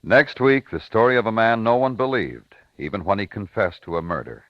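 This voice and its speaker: A man announcing in formal, measured, dramatic 1950s radio style, reading from a script. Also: on the low side, expressive, no room sound. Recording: dull vintage radio, music behind.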